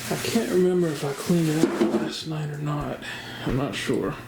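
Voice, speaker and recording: A man vlogging casually at home, rambling in a relaxed way and talking quietly instead of projecting. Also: fairly high pitch, normal speed. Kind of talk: casual home vlog chat. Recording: noticeable background noise.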